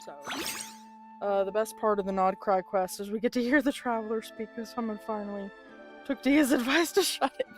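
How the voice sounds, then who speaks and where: A woman's voice: a little high, moderate pace. A woman live-streaming a game, talking casually and candidly. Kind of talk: casual live-stream chat over gameplay. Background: video game music.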